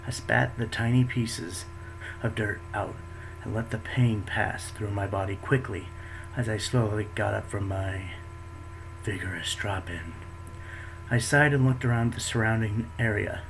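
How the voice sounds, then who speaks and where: A man with a fairly low voice reads his own story aloud in a plain, steady way. His voice jumps higher when he speaks the quoted thoughts. A faint hum of room ambience sits behind him. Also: measured pace, vocal fry. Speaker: fanfiction reader.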